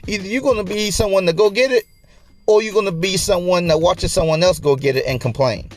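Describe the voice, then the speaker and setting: A man's voice: high-pitched, fairly quick, faint music behind. A man in his late twenties hosting a casual motivational podcast, talking colloquially to listeners and repeating phrases for emphasis. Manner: colloquial, conversational address to listeners. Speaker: podcast host.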